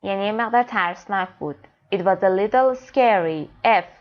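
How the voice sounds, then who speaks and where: A woman teaching English grammar, explaining fast in Persian and reading English example sentences slowly and clearly. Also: mid-range voice, lo-fi sound. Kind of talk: grammar lesson.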